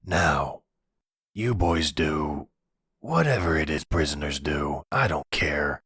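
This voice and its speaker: A man acts out a delusional, possessive crime boss in middle age for an ASMR roleplay. His voice is extremely deep and heavily husky, recorded close and dry. He speaks slowly, with condescending tenderness that carries menace. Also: fairly bright tone, dry recording, heavily acted. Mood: jealous, threatening. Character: possessive, delusional middle-aged male mafia boss and husband.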